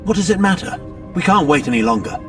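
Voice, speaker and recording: A man's voice: sits high, lively intonation, fast talker, over music. An actor playing an impatient, haughty, self-serving male mage in a fantasy game, with a sardonic, pompous, clipped delivery.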